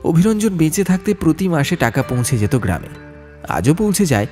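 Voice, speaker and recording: Man, dramatic audiobook narration, mellow timbre, animated intonation, literary storytelling manner, background music. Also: a little high, fairly quick. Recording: music under the voice.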